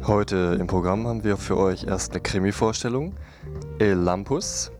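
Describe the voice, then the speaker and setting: Male radio co-host with a fairly low voice, reading out programme announcements over guitar music. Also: normal speed. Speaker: radio co-presenter.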